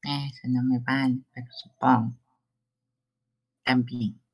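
A performer voicing a creepy young male gravedigger in a live fan dub of a game, whiny and pleading, speaking slowly. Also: mid-range voice, level tone, darkish tone, slightly rough. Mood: sulky.

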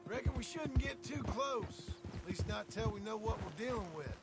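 An actor playing a prickly, scheming young American cowboy outlaw in a Western game, with a gravelly, folksy drawl. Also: male voice, high voice, expressive, moderate pace. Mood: scheming, matter-of-fact. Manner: drawling, folksy frontier speech. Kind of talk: video game voice acting, Western dialogue. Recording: game music behind, horse hooves.

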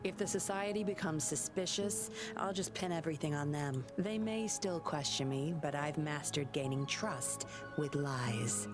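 Yinlin, a teasing sly spy. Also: female voice, deep voice, unhurried, hardly any pauses. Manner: playful, teasing, smooth. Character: teasing sly young female undercover agent. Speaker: game character. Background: game music.

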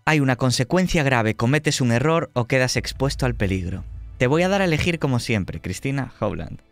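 A man's voice: medium pitch, expressive, brisk, gravelly, no echo, faint music behind. A man running a tabletop horror roleplaying game, narrating in a dramatic, suspenseful way. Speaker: game master.

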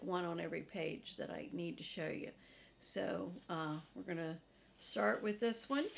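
Older woman reading aloud, unhurried. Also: on the low side, creaky, a little hiss or hum. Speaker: storybook reader. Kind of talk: read-aloud storytelling for children. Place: living room, seated in an armchair.

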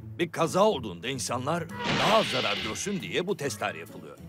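Grumbling crash-test dummy for a kids' cartoon, mid-pitched male, wildly swinging intonation, secretive and impatient. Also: wildly swooping pitch, fairly quick, over music. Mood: grave, explaining. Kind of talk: animated children's cartoon acting.

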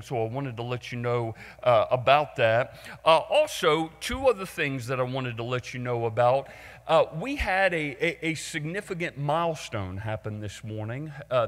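A man's voice: medium pitch, fairly bright tone. A man in his forties giving church announcements as pastor, talking with frequent fillers and repeated words. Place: large church sanctuary. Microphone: handheld and stage mic. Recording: long hall reverb of a sanctuary.

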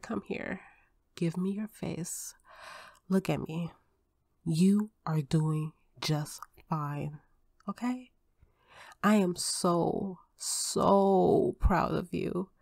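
A woman playing an affectionate, reassuring spouse and step-parent in an ASMR roleplay, speaking in a gentle, soothing, tender manner. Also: fairly low pitch, gravelly, no room sound, studio quality, full character voice. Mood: tender, soft.

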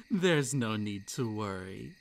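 A dangerous fox spirit, as a man plays him in an audio roleplay, possessive and unhinged. He talks slowly, letting each threat linger, with a mid-range voice. Manic laughter slips out, and then he sinks into hushed, breathy whispers. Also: medium pitch, slight background noise, exaggerated voice. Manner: gleeful, unhinged laughter between lines; drops to soft breathy whispers. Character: possessive yandere male kitsune monster, menacing. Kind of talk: roleplay ASMR.

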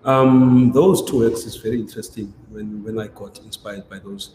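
A man's voice: fairly low pitch, fairly even intonation, normal speed, darkish tone. A man from South Africa, an artist, telling his story in an interview, hesitant with many restarts. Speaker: ceramic artist. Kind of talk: conversational interview. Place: gallery showroom, indoors. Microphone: video call. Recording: roomy echo with faint noise.

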